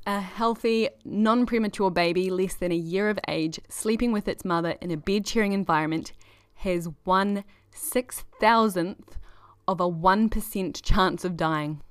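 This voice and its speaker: A woman's voice: on the low side, moderate pace, no room sound, clean studio sound. A woman hosting a podcast, giving a chatty, enthusiastic scripted introduction. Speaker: podcast host.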